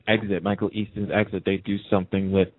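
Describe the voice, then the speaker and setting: A man with a fairly low voice chats casually as a radio guest. He comes through a narrow, muffled telephone line with a dry sound. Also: little pitch movement, fast talker, no echo. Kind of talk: casual chat.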